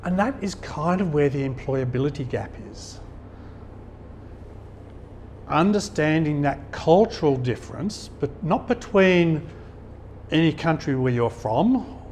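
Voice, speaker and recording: A man's voice: on the high side, animated, unhurried, long pauses, a little mellow, slight background noise. Man, easygoing anecdotal speaker. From Australia. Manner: conversational, anecdotal, chuckles at his own stories. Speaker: guest speaker at a business networking event. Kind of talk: informal talk to an audience. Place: function hall.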